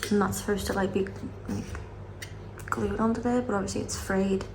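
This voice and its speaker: A woman's voice: medium pitch, fairly even intonation, normal speed, recorded from a distance. A British woman chatting casually to camera for a vlog, rambling with lots of fillers while she has a cold and sore throat. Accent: British English. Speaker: vlogger. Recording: echoey room, off-mic, loud background noise.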